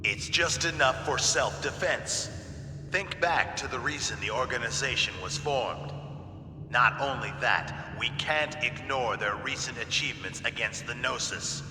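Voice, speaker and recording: Game politician male actor: earnest, explanatory, mid-range voice, echoey room with clear background noise. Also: medium pitch, measured pace, lightly acted. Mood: earnest, defensive. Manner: argumentative, explanatory. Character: earnest reasoning male parliament delegate. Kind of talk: video game cutscene acting. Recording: noisy echoey chamber, long reverb.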